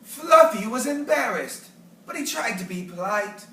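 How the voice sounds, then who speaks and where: A storyteller reading a children's picture book aloud with animated, theatrical, deliberate phrasing. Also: medium pitch, slight background noise. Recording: echoey room, distant mic, faint music.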